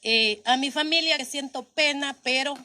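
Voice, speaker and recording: A woman's voice: sits high, moderate pace, sharp tone. A woman from Honduras, answering an interview outdoors in an earnest, resolute way.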